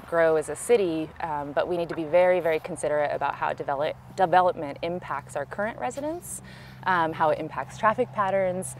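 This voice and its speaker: A woman with a Californian American accent giving measured, explanatory answers in a conversational interview as a local political candidate, recorded outdoors. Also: deep voice, slight background noise. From California, United States. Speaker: city council candidate, interviewee. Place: outdoors in a park.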